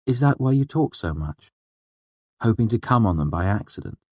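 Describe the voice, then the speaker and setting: A gentle, flippant young woman, voiced by a male audiobook narrator speaks softly and teasingly. The pitch is lifted above a deep natural register, in a dry, close recording. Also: animated, normal speed, slightly rough, no echo. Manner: soft, teasing. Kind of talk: audiobook character dialogue.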